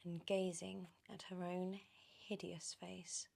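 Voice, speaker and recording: A woman with a British accent reading a children's story in ASMR style, animated and often slipping into whispers. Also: on the low side, slow pace, vocal fry.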